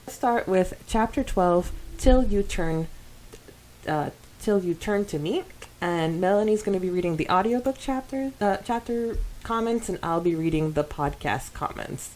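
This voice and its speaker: A woman's voice: fairly low pitch, animated, moderate pace, darkish tone, poor audio. A woman co-hosting a casual fan podcast, chatty and often laughing as she talks. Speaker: podcast co-host.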